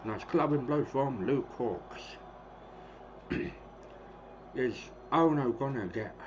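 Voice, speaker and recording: A hoarse man in his thirties casually calling the action of a wrestling game he is streaming, rambling and clearing his throat often. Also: mid-range voice, lively intonation, slow pace. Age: 30s. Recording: dull lo-fi stream audio with clear noise.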